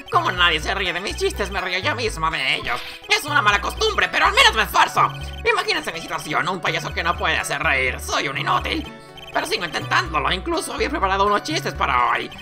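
Game clown played by a man, failed comic, pleading and self-mocking, lively pitch over music. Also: heavily acted. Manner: loud forced laughter at own jokes. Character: sad, needy clown comedian desperate for laughs.

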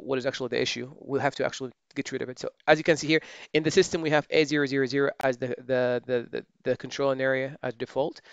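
A man with a smooth, resonant voice talks through a software task step by step, thinking aloud and stopping to fix his own slips. The recording is dry and a little dull, like a desk mic close in a quiet room. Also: medium pitch, no echo. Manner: relaxed, thinking aloud while typing, many fillers and self-corrections. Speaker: software trainer. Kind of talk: screen-recorded software tutorial.

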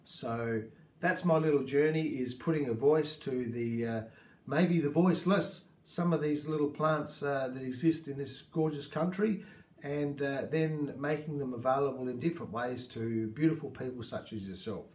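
A man's voice: mid-range voice, moderate pace. A man in his fifties with an Australian accent, talking casually to camera about native plants, rambling and gesturing, in a small indoor room. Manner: warm, rambling, gestures with hands. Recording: echoey room.

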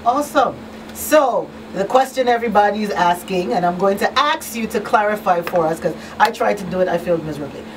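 A woman's voice: mid-range voice, brisk. A woman presenting a live radio talk show as host in a small studio. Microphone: boom-arm studio microphone. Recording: slightly distant, faint room noise.

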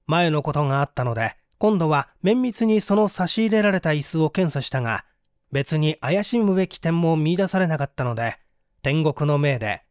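A man's voice: a little high, darkish tone, no echo, studio quality. A man reading a mystery story as an audiobook narrator, theatrical and suspenseful in his delivery. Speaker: audiobook reader.

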